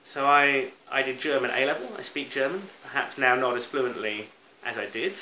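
A man's voice: mid-range voice, fairly even intonation, normal speed, sharp tone. British male preacher, sermon delivery, reflective and emphatic, echoey room, faint background noise.

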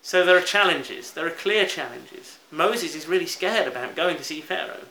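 A man's voice: a little high, lively intonation, normal speed, sharp tone. A British man preaching a sermon in an earnest, reflective manner, stressing key words as he goes. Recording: roomy echo, faint background noise.

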